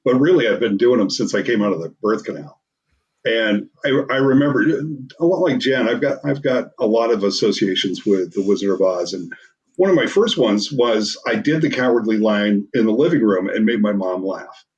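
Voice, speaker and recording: A man's voice: lowish voice, expressive, normal speed, a little mellow. Distant, muffled man telling casual anecdotes. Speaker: voice actor.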